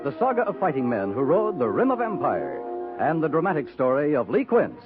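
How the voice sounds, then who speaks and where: A man's voice: fairly high pitch, wildly swooping pitch, brisk. A man announcing a 1950s radio drama in a grand, declamatory style. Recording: muffled 1950s radio recording. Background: loud orchestral theme music.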